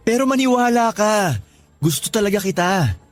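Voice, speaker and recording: Casting: young Filipino suitor, teasing and forward, highish animated voice, radio romance with music underneath. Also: male voice, full character voice. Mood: earnest, coaxing. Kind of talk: radio romance drama acting.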